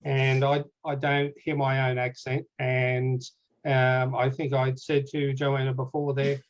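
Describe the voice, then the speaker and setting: A man with an Australian accent, a guest author talking in a casual, rambling way and clearing his throat now and then. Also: medium pitch, completely flat delivery, unhurried, dull audio. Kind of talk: casual conversation.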